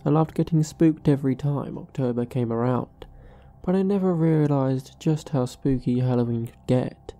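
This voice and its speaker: Female horror narrator, deeply mellow timbre, measured scripted read. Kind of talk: scripted first-person narration.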